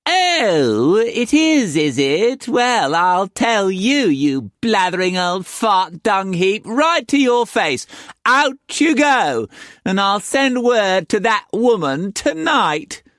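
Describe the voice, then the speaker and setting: A shrill screeching madam. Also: male voice, big pitch swings, slow, fairly bright tone, no room sound, clean studio sound, heavily acted. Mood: furious. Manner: screeching, sweetly sarcastic. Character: shrill, bossy little madam, scheming and loud.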